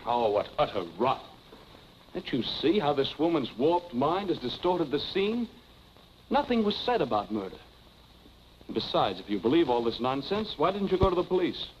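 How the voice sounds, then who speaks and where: An actor playing a suave young husband in a 1940s film melodrama, wry, evasive and easily exasperated. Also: male voice, fairly high pitch, big pitch swings, normal speed, a little hiss or hum. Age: young adult. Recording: muffled 1940s film soundtrack, music behind.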